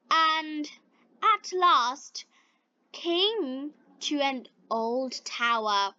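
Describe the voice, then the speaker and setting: A woman narrating a scripted fairy tale for English beginners, phrasing it deliberately in short, separated chunks. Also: sits high, pushed and bright, no echo, highs cut off. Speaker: storyteller for English learners.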